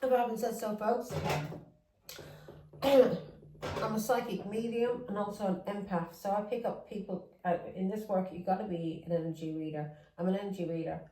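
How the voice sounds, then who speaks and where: A woman's voice: mid-range voice, fairly even intonation, moderate pace, mellow, roomy sound, recorded from a distance, a little hiss or hum, poor audio. A woman from England with an English accent with Irish touches, giving a casual tarot reading that rambles, repeats words and drifts into chatty asides. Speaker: tarot reader.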